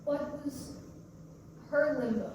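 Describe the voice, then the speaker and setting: Woman acting a fragile, high-voiced lost mother. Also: slow. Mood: sad, bewildered. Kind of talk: staged play reading. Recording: very echoey stage, distant mic, loud noise.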